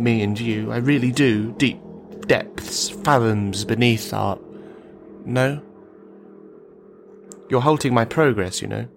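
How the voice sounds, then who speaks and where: A man's voice: medium pitch, vocal fry. A man performing a radio play monologue as a bitter, brittle, menacing army veteran, rambling and brooding as he addresses a silent listener. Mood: cold, probing, then wistful, rambling.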